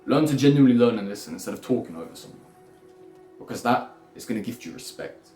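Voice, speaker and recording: A man's voice: mid-range voice, animated, quick pace, dark tone. A young man lecturing bluntly in a rambling self-improvement monologue, swearing freely. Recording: echoey room with faint music.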